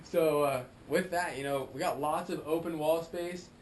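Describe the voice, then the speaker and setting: A man's voice: on the high side, fairly even intonation, normal speed, slight background noise. An American man hosting a show, chatting casually and enthusiastically. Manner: upbeat, enthusiastic slang. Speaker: show host. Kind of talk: casual chat.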